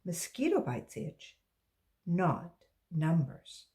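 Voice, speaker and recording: A firm woman schoolteacher from a children's storybook, as a woman reader acts her. She is insistent and unflappable, spelling things out slowly with lively rises and falls. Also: on the low side. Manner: firm, instructive. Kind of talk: children's storybook read-aloud.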